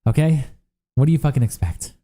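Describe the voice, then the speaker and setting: A man's voice: medium pitch, animated, mellow. A young man hosting a livestream, chatting casually and profanely with viewers while worn out from days of streaming. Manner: casual, profane, reacts to chat with quick asides. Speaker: livestream host. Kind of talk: casual livestream chat reading viewer comments.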